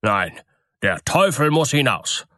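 A man voicing a gullible, excitable miller in a fairy tale, blunt and hearty with a blustering manner. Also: mid-range voice, slow, no echo, studio quality, voice pushed up, heavily acted.

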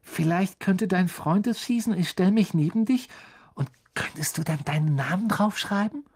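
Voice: mid-range voice, expressive, normal speed, a little mellow, slightly rough, voice pushed up. A reader voicing an eager, starstruck young schoolboy in a storybook, gushing and begging. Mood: eager, pleading.

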